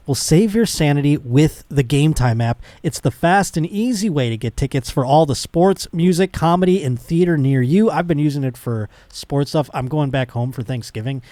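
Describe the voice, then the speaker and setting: A man's voice: a little high, darkish tone, no room sound. A man in his late thirties hosting a casual comedic podcast, talking in a blunt, slangy way with some swearing. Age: late 30s. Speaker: talk show host.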